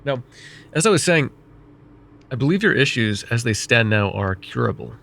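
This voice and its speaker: A man voicing a vain, wry, middle-aged male mage who counsels a student in an ASMR roleplay, speaking in a calm, measured and gently teasing way. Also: mid-range voice, gravelly. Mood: calm reassuring. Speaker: voice actor.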